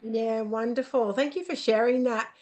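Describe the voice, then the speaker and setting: Bright, friendly woman hosting a podcast. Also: fairly high pitch, steady tone, normal speed. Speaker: podcast host. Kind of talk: podcast interview.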